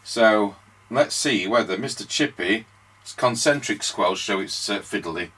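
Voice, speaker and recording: A man's voice: on the low side, lively intonation, unhurried, pushed and bright, husky, low-quality recording. A man giving casual demonstration commentary as a radio repair host and operator.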